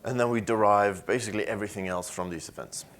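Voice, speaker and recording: Low-voiced Swedish-accented man giving a talk. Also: quick pace, a bit bright. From Sweden. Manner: conversational, frequent filler sounds. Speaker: conference speaker. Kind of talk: technical conference talk. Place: conference room with an audience.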